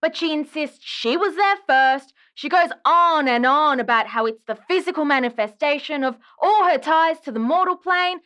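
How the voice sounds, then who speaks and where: High-pitched, dramatic, exasperated woman caller. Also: lively intonation, normal speed, few pauses, fairly bright tone. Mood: exasperated, aggrieved. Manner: dramatic, chatty, aggrieved. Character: exasperated, dramatic woman caller griping about her ghost ex. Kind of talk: audio drama phone-in acting.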